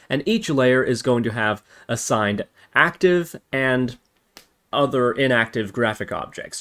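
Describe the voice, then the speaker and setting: Methodical man narrating a coding tutorial. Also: mid-range voice. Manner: methodical, step-by-step explaining. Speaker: tutorial host. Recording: noisy, with keyboard typing and clicks. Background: computer keyboard typing and mouse clicks.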